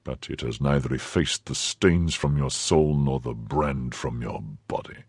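Man playing a brooding nobleman, very deep voice, quiet, slow, menacing, husky. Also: no room sound, clean studio sound, exaggerated voice. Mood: coldly menacing. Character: stern brooding male nobleman, cold and grave.

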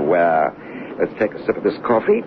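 An actor playing a commuter at a poker game in a 1970s radio drama, talking in casual, hesitant phrases. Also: male voice, sits low, huge pitch swings, normal speed, narrow, tinny audio. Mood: jovial. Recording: band-limited vintage radio, faint noise.

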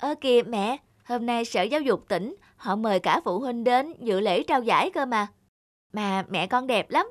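A woman voicing a devoted, earnest teenage student from a poor family in an animated moral tale, polite and youthful in manner. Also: a little high, normal speed, close to an everyday voice. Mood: reassuring.

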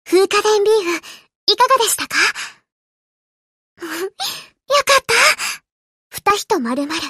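Very high, sweet anime ship girl. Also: sing-song, takes it slowly, pushed and bright, no echo, clean studio sound, heavily acted. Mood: gentle, homely. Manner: sweet, polite, earnest, slightly hesitant. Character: gentle, eager, polite young female aircraft carrier ship girl. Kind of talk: Japanese anime game character voice lines.

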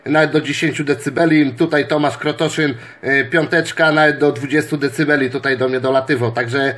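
A man's voice: a little high, steady tone, a little fast, fairly bright tone. A man chatting casually on amateur radio and giving signal reports. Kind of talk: casual radio contact chat.

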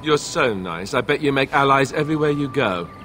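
An actor playing a sarcastic young warrior companion in a fantasy video game, with a dry mocking manner. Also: male voice, mid-range voice, expressive, fairly quick, sharp tone, a little hiss or hum.

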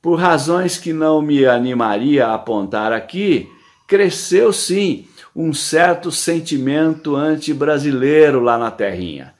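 A middle-aged Brazilian man with a Brazilian Portuguese accent, reading his written opinion column indoors into a phone camera, lively and emphatic with rhetorical questions. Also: a little high, unhurried.

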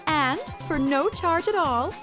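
A woman plays a sweet but menacing spider baker in a fan-voiced game, high and sugary, with slow, purring delivery. Her teasing lines coo with hidden threat. Game music plays faintly behind. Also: lively intonation.

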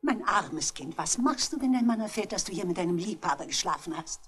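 A woman's voice: mid-range voice, animated, a little fast, lightly acted. An older woman playing a genteel, cunning, miserly old lady in a 1960s radio crime drama, with theatrical phrasing. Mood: mock pity.

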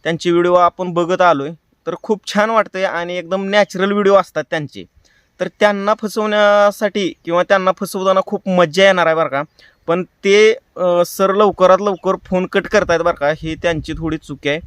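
A man's voice: high voice, steady tone, normal speed, a bit bright, clear, resonant tone, no room sound. A man with a rural Maharashtra Marathi accent, hosting prank calls and chatting casually to his viewers with colloquial tag phrases. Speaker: prank call show host.

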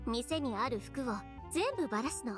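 Cool, decisive young woman, high-pitched. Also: sing-song, normal speed. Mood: matter-of-fact. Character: confident, blunt, determined young woman fashion designer. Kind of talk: anime video game voice acting. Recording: game music behind the voice.

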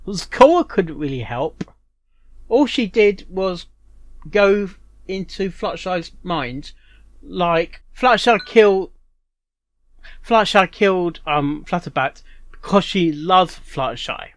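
A man hosting a reaction video in casual, rambling commentary, haltingly repeating and restarting his phrases. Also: high-pitched, animated, no echo. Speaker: reaction channel host.

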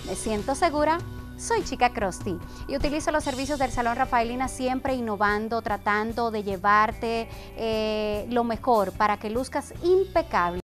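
Woman, TV host, bright higher voice, upbeat and chatty, light music under her. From Dominican Republic. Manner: upbeat, friendly, with filler pauses. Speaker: TV talk show host. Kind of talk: chatty interview and live sponsor plugs.

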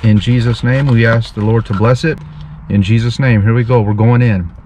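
A man's voice: medium pitch, steady tone, a little mellow, slight background noise. A man in his thirties, a street preacher, talking earnestly and a bit formally to a camera from his parked car. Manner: slow, earnest, pious, slightly formal. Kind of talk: casual vlog with preaching asides. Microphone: dash camera in front of him.

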